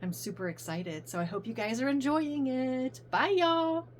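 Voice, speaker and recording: A middle-aged woman from Virginia chatting casually to viewers in a rambling, self-correcting way while her voice goes hoarse. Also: sits high, normal speed, slight background noise. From coastal Virginia, US. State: voice going hoarse. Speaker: reseller vlogger. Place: home room with piles of stuff. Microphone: phone on a ring light.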